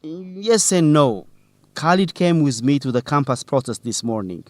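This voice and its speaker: A man's voice: fairly high pitch, measured pace, darkish tone, no echo, close to an everyday voice. An actor playing a young male student newsletter reporter in a radio drama for English learners, earnest, hesitant and careful in his speech. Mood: worried, apologetic. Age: young adult.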